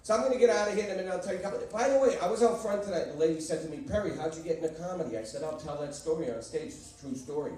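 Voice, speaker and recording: A man's voice: high-pitched, racing, few pauses, darkish tone. Brash Boston man doing stand-up. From Boston, USA. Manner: brash, punchy storytelling with audience banter. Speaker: stand-up comedian. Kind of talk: stand-up comedy set. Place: comedy club stage. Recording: echoey, distant club recording. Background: crowd laughter and noise.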